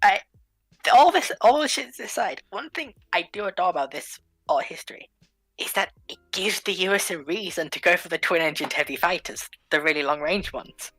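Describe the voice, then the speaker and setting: A woman's voice: lowish voice, animated, normal speed, fairly bright tone, dry recording, soft background music, rough recording. A woman in a casual panel discussion, explaining her points in an assertive way.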